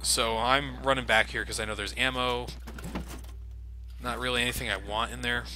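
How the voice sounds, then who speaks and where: A man's voice: mid-range voice, fairly even intonation, moderate pace, sharp tone. A man giving casual let's play commentary, sarcastic, self-deprecating and freely swearing. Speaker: video game commentator. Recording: game combat noise behind voice. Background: video game gunfire and vehicles.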